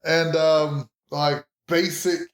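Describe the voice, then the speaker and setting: A man playing a tabletop game, describing his character's actions in animated, casual improvised talk, recorded in a roomy space. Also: fairly high pitch, takes it slowly. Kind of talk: casual improvised role-play chat. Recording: roomy, echoing home recording.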